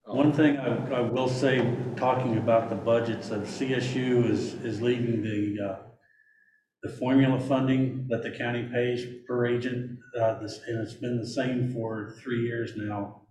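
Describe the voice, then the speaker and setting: A man's voice: medium pitch, fairly even intonation, background noise. Hesitant man in an echoey meeting room. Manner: hesitant, measured. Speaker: meeting participant reporting on budgets. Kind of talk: public meeting discussion. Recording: roomy sound with faint ambience.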